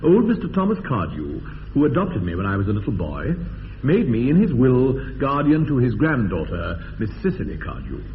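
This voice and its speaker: An actor playing a pompous, evasive young upper-class English gentleman in a radio comedy, indignant and formal in his phrasing. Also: medium pitch, wildly swooping pitch, moderate pace. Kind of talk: radio drama acting of period comedy. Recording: tinny band-limited radio, long reverb, hiss.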